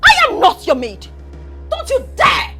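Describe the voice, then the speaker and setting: An actress playing a hot-tempered young royal daughter-in-law, emphatic and confrontational, in a film melodrama. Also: female voice, high-pitched, very animated, measured pace, fairly bright tone, soft background music, heavily acted. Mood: furious. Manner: confrontational, emphatic, repeats herself.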